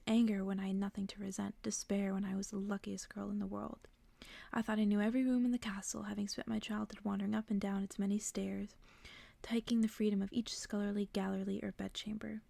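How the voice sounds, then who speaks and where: Whispering, creaky-voiced woman reading a bedtime story. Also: mid-range voice, little pitch movement, a little fast, dry recording. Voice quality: soft, breathy whisper. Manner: hushed, gentle narration. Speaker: ASMR creator reading stories aloud. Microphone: close-up microphone.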